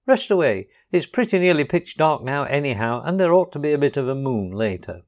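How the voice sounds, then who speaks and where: A man's voice: on the high side, sing-song, brisk, a little mellow. A man voicing a brave, good-natured male water rat who talks heartily and reassuringly.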